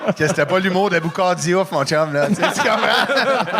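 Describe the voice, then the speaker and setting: A man with a Quebec French accent, judging a comedy act in a teasing, animated chat. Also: high-pitched, fairly quick, crowd noise. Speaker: comedy show judge. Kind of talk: casual chat.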